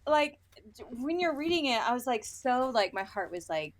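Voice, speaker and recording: Female podcast co-host, higher-pitched, opinionated and animated, swears freely, casual book-review chat, slips into imagined dialogue. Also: normal speed.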